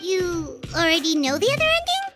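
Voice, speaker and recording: A woman voicing a small, childlike, excitable fairy companion in a game, chattering in exclamations with music behind her. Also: very high-pitched, fairly quick. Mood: chatty, curious. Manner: exclamatory, refers to self in third person. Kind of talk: animated game character dialogue. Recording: loud music under the voice.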